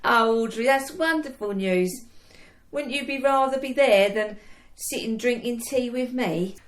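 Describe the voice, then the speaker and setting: A woman's voice: on the high side, measured pace, lightly acted. An actress playing a loving, anxious young woman on the home front in a wartime radio play. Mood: welcoming. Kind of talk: period radio drama acting.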